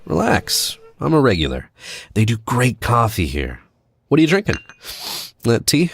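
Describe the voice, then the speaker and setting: A man playing a cocky, needling American spy in an audio drama, casual and clipped, with quiet menace. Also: medium pitch, wildly swooping pitch, normal speed, creaky, husky, faint music behind, exaggerated voice. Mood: cocky, teasing.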